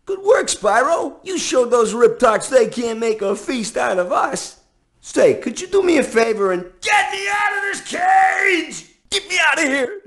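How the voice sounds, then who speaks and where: A man voicing a chatty, excitable talking pig in a cartoon video game. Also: very high-pitched, a little slow, raised voice, heavily acted. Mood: excited.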